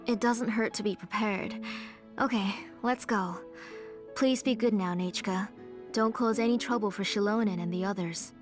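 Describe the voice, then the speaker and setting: A woman playing a caring, protective mother in a video game, speaking in a gentle, fussing manner. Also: medium pitch, normal speed, music in the background, close to an everyday voice. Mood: gently fussing.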